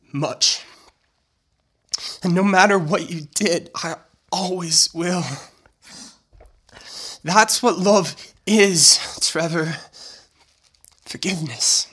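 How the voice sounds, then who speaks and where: A man acting in an audio drama as a doomed young man, loving and resolute, speaking haltingly and earnestly in a breathy, strained voice. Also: fairly high pitch, pushed and bright, full character voice. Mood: loving, forgiving.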